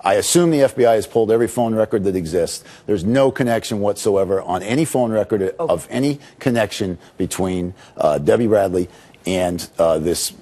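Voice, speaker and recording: Low, very bright-less, mellow male lawyer. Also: a little fast. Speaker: lawyer speaking to press. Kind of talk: spontaneous press statement.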